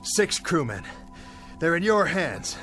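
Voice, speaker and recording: An actor with an American accent playing a dazed, guilt-ridden young bomber captain in a radio drama, talking to himself in broken, searching phrases. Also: male voice, fairly high pitch, sing-song, takes it slowly, bright, forceful tone, faint music behind, exaggerated voice. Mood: urgent, self-commanding.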